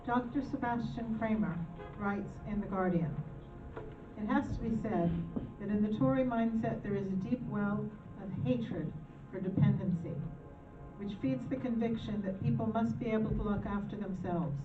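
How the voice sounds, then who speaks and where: A woman's voice: medium pitch, moderate pace. A woman reading a newspaper opinion piece aloud as part of a charity show. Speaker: reader in a charity poverty show. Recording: echoey, distant, phone-like, clear music behind.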